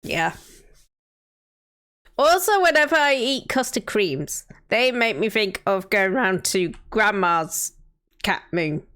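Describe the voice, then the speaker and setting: A woman's voice: mid-range voice, animated, takes it slowly, pauses often, a bit bright. A woman in her thirties, a streamer chatting casually and thinking aloud while greeting her viewers by name. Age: 30s. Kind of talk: casual live-stream chat while playing a city-building game.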